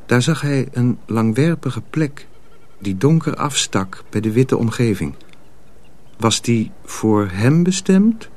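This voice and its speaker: A man's voice: mid-range voice, lively intonation, slow pace, darkish tone. A man narrating a radio drama as a storyteller, reading a dramatic script.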